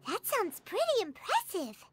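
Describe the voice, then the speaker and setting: Tiny fairy game companion, childlike, extremely high, whiny, fretful, animated, loud music behind. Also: female voice, normal speed, full character voice. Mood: curious.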